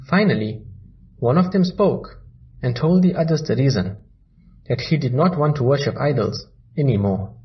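A man narrating a children's story in a slow, lively storybook manner. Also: medium pitch, highs cut off.

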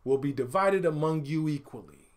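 A man voicing a stern, authoritative village chief in a storybook folktale, making commanding, deliberate pronouncements. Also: a little high, close to an everyday voice. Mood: stern, solemn.

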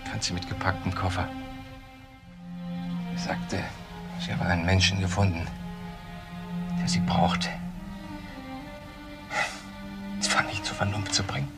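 An actor playing a desperate, controlling professor in a crime radio play, speaking in a deliberate, halting, insistent way. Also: male voice, low voice, flat delivery, loud music behind the voice. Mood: shaken confession. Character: desperate, controlling professor who confesses to killing his wife.

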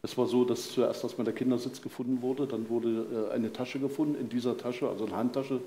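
Older man, mellow voice in a roomy space. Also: mid-range voice, steady tone, a little fast. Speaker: forensic pathologist guest. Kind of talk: explaining casework in a live talk. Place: vaulted venue, live audience on stage. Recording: roomy live stage sound, faint noise.

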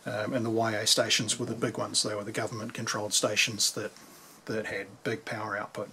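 A man's voice: on the low side, flat delivery, fairly quick, sharp tone. A man with a New Zealand accent giving a casual, conversational explanation at a workbench as a radio repairer, thinking aloud. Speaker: vintage radio repairer presenting. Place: indoors at a workbench. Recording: clear background noise with clicks.

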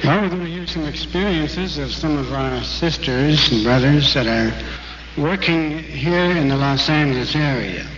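A man's voice: on the high side, moderate pace. A man interviewing a guest on stage with friendly, prompting questions. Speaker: interviewer at a convention. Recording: dull, hissy recording in an echoey hall.

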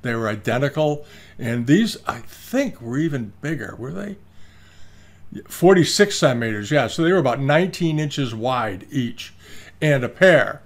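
Older male host, relaxed chatty auction talk, meandering with fillers, desk webcam recording. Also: medium pitch, moderate pace. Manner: rambling, many fillers and restarts. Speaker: antiques auction commentator. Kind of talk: casual video commentary. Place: indoor room, screen-share with webcam inset.

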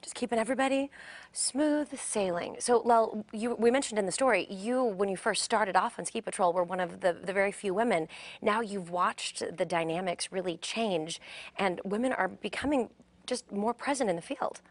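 A woman's voice: mid-range voice, moderate pace, no echo. Woman tv host, chatty and upbeat, dry close sound, studio set. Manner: chatty, upbeat, some restarts. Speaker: morning show host. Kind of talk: live studio interview.

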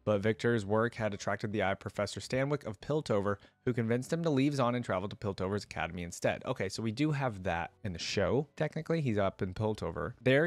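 A man's voice: fairly low pitch, no room sound. A young man giving casual reaction commentary and reading aloud, musing and speaking straight to his viewers. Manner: conversational, musing, addresses viewers directly. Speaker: host of a gaming lore reaction channel. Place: indoor room at a desk, gaming chair. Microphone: close desk microphone on a stand, headset worn.

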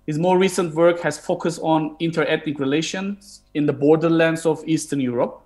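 A man in his thirties with a Turkish accent speaks over a video call from his home. He hosts a book talk in a hesitant way, full of fillers and restarts. His voice sits a little high for a man. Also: steady tone, moderate pace, darkish tone. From Turkey. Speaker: historian and host. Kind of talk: moderating an online book talk. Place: home room, indoors.

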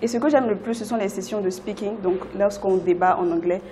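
A woman's voice: mid-range voice, fairly quick, dark tone, a little hiss or hum. Young Ivorian woman in an interview. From Ivory Coast. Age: 20s. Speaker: language student interviewee. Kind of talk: interview testimonial. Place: indoors at a language school. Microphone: lapel.

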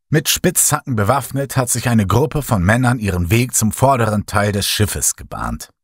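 Male horror narrator, breathy, very expressive pitch, dry close mic. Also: dry recording. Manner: dramatic first-person storytelling, lively voiced dialogue. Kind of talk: horror audiobook reading.

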